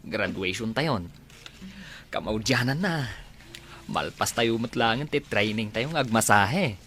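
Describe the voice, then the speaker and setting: An actor with an Ilocano accent playing an encouraging young student urging a friend on in a radio drama. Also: male voice, lowish voice, lively intonation, unhurried, a bit bright, slight background noise. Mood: eager, coaxing.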